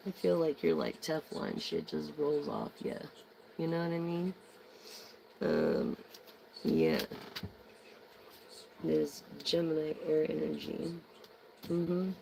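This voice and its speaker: A hoarse, gravelly voice drifts slowly through a casual tarot reading. The speaker wanders between thoughts with plenty of ums and easy slang. Faint background noise sits behind the voice. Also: mid-range voice. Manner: slangy, meandering, many ums. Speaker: tarot reader.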